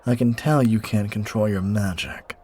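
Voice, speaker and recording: A man's voice: fairly low pitch, moderate pace, dark tone, lightly acted. A man playing a smug, entitled young academy bully in an audio roleplay, with a taunting, menacing drawl. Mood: menacing, mocking.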